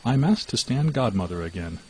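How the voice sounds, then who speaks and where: A man plays a sly, greedy female cat from a storybook. The cat talks smoothly and coolly, with deceit under every line and a very lively pitch. There is some noise in the recording. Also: brisk, lightly acted, poor audio. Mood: wheedling. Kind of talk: storybook character voice.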